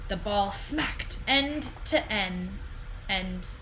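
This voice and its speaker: Slow, bright young woman reading a storybook. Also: medium pitch, slight background noise. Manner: animated, deliberate phrasing. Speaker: read-aloud storyteller. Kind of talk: expressive children's picture book read-aloud.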